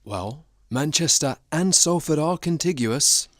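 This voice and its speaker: An actor playing a genial, mildly pompous 1930s radio producer who speaks in a brisk, pedantic way in a radio play. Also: male voice, fairly high pitch, lively intonation, no room sound, studio quality. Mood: flustered, brisk. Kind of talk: radio play acting and narration.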